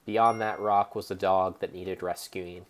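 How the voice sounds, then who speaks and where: A young man giving a theatrical, mock-serious dramatic narration over gameplay, speaking deliberately like a storyteller. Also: deep voice, steady tone. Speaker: game streamer.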